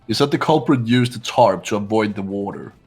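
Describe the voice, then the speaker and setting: Lowish, skeptical, shrewd young man, acted. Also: fairly quick, darkish tone, breathy edge. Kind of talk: fan-voiced visual novel game dialogue. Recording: music behind the voice.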